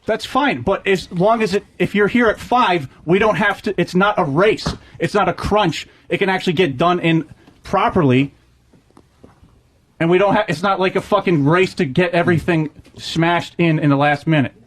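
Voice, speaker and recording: A high-pitched man argues as a radio show staffer in a workplace dispute. He is blunt and insistent, pressing his point with plenty of profanity. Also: moderate pace. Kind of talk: heated workplace argument.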